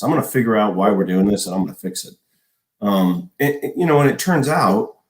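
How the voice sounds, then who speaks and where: A man in his forties, a former army logistics officer, explaining at length in a podcast interview with a measured manner and many restarts. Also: on the low side, roomy sound, poor audio. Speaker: guest, retired army logistics colonel.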